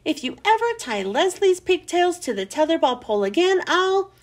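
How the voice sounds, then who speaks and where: A woman voicing a friendly adult man who works as a playground teacher, calm and patient with a light scolding edge. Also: extremely high pitch, moderate pace.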